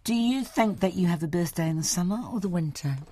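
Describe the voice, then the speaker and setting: Husky New woman interviewer. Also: fairly low pitch, lively intonation, fast talker, mellow. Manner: probing, conversational questions. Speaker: radio interviewer. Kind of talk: radio interview conversation.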